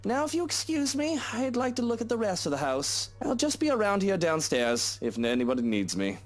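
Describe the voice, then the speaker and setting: Brief: bossy, impatient rich husband in a game, curt orders, animated swinging delivery. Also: male voice, high-pitched, a little fast. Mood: mollified. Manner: curt, commanding.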